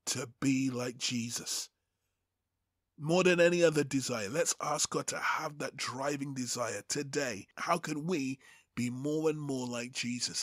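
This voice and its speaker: A man hosting a Bible teaching, speaking in a deliberate, emphatic, phrase-by-phrase sermon style. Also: medium pitch, fairly bright tone, dry recording, clean studio sound. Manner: deliberate, emphatic, phrase-by-phrase delivery with rhetorical questions.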